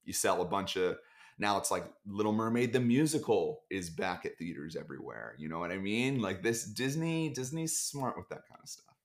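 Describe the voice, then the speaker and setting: Low-voiced man joking deadpan on a call. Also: normal speed. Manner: joking, rambling, deadpan dark humor. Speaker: podcast guest. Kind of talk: casual chat. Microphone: video call.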